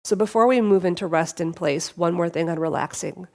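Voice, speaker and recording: A woman's voice: fairly low pitch, fairly quick, a little mellow. A middle-aged woman hosting a reflective solo podcast, sniffly with a cold, earnest and prone to repeating and restarting her words as she talks to listeners. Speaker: podcast host.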